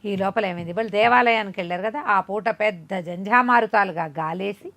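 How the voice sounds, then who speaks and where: A woman telling a folk tale in an animated, conversational way, with explanatory asides. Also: mid-range voice, fairly quick. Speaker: storyteller.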